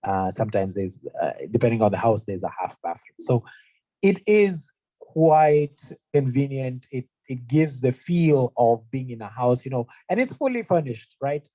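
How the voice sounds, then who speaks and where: A young man from Kenya speaks to prospective students in an earnest, conversational way. His voice is warm and mellow at a middle pitch. The sound is dry and close. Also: very animated, normal speed, no room sound, poor audio. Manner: earnest, conversational, frequent fillers. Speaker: university representative. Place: room presentation, also streamed online.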